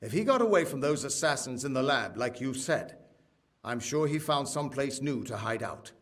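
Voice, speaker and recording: An actor playing a chummy, streetwise ally in a fantasy game, talking in a casual, encouraging way. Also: male voice, medium pitch, a bit bright. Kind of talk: scripted fantasy game acting.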